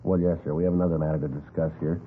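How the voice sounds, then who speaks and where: Deadpan, courteous police detective, low and very fast, for an old radio crime drama. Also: male voice. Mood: businesslike. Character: polite, businesslike male police detective questioning calmly. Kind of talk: understated 1950s radio acting. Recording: tinny, noisy vintage radio recording.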